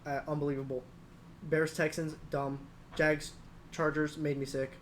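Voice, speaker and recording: A man with a slightly high-pitched voice talks casually as a sports podcast co-host. He is informal and favours short, clipped quips. Noticeable background noise sits behind him. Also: little pitch movement, a little slow.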